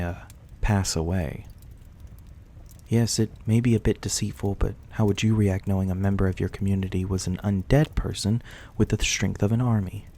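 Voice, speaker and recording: Casting: male actor as a gentle, courteous vampire host for ASMR roleplay, low and mellow, soft old-fashioned politeness. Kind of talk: intimate ASMR roleplay acting.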